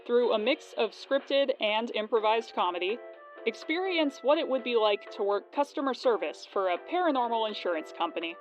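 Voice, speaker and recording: A woman's voice: fairly high pitch, expressive, moderate pace, low-quality recording. A woman podcast host reading scripted promotional narration and credits. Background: music.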